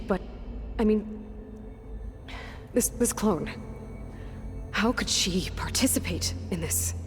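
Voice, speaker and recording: A woman's voice: mid-range voice, a little mellow, natural voice. A woman playing a determined, sceptical young hero in a video game, asking questions bluntly and with hesitant breaks. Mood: troubled, incredulous. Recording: long hall reverb, game music behind. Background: music.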